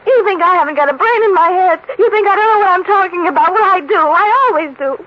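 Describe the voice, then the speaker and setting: A woman's voice: very high-pitched, fast talker. An actress playing a distraught, jealous murderess in 1940s radio style, intense and insistent, repeating her phrases. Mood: frantic, defiant. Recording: dull old radio broadcast recording.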